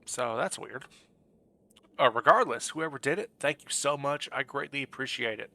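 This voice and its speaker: Bright-voiced man chatting on a stream. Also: medium pitch, moderate pace. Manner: friendly, rambling, thinking aloud. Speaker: game streamer. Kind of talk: casual livestream chat over gameplay.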